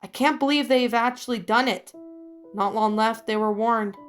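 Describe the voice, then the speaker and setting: A woman's voice: a little high, moderate pace, faint music behind. A woman narrating a scripted horror story, reading aloud and now and then stumbling over the words.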